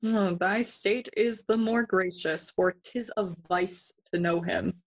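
A woman playing a brooding, mocking young Shakespearean prince in an audio play, theatrical and deliberate, moving between English and Spanish. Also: medium pitch, poor audio. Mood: mock courteous.

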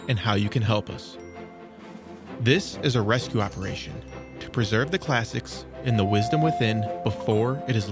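A man hosting children's audio stories, giving an earnest scripted address to listeners. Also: medium pitch. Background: music.

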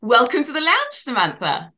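A woman's voice: high-pitched, wildly swooping pitch, normal speed. A woman hosting a podcast interview, affirming and emphatic as she introduces and responds to her guest. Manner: warm-spoken, affirming, emphatic. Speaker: podcast host.

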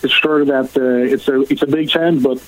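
Man, Quebec French accent, native French speaker, middle-aged, halting, lots of fillers, guest answering questions. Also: mid-range voice, steady tone, a bit bright, poor audio. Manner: halting, many fillers and restarts. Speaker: country singer, guest. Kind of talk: interview answers.

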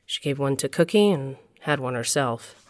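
A woman's voice: extremely low pitch, expressive, normal speed. A woman playing a wry, middle-aged storyteller, narrating an audio drama in the first person with an intimate, confiding manner. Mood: storytelling.

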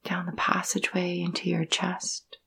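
A woman leading a guided meditation in a soft, breathy, husky voice, gentle and soothing, with long pauses between phrases. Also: on the low side, monotone. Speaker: yoga nidra meditation guide.

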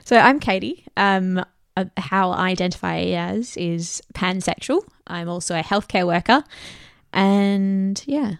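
A woman's voice: medium pitch, a little slow, creaky, clean tone, no echo, clean studio sound. A woman co-hosting a casual podcast, giggly and earnest, rambling loosely as she talks. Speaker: podcast co-host. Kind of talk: casual chat.

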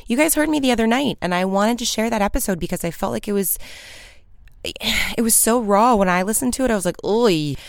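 A woman in her thirties hosting her own podcast, chatting casually and upbeat with frequent ums and casual swearing, her morning voice sitting low. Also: expressive, fairly quick, creaky, no room sound, studio quality. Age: 30s. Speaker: podcast host. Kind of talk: casual solo podcast update.

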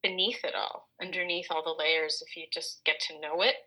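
A woman's voice: low voice, steady tone, normal speed, sharp tone, low-quality recording. A woman co-hosting a reflective, conversational talk, speaking candidly and explaining things with plain, relatable examples. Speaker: co-host.

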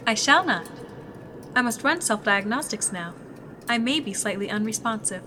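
A woman's voice: a little high, animated, moderate pace, pushed and bright, a little hiss or hum. A woman voicing a polite female android companion who speaks in formal, literal, stilted robotic phrasing in a sci-fi radio drama.

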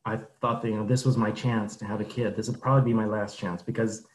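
Guest: man in his fifties, measured and plain, echoey room sound. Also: fairly low pitch, little pitch movement. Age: 50s. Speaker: podcast guest. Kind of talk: casual interview answers.

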